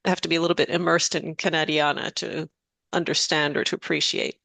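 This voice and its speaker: An interviewer asking long, measured and polite questions of an author. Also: mid-range voice, level tone, vocal fry, bright, forceful tone, no echo.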